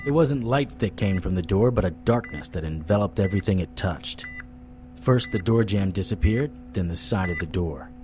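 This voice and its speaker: Low-voiced, world-weary noir detective. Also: male voice, normal speed, over music. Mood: wary, suspenseful. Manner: wry, deadpan. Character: world-weary, wry male supernatural private detective. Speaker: narrator and lead actor. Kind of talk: noir first-person audio drama narration.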